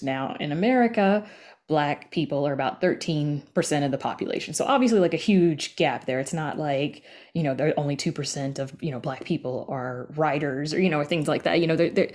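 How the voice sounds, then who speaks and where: A woman's voice: sits low, darkish tone. A woman guest in a casual interview, explaining things conversationally with plenty of filler phrases. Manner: conversational, explanatory, peppered with you know and like. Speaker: podcast guest, writer and audio drama creator.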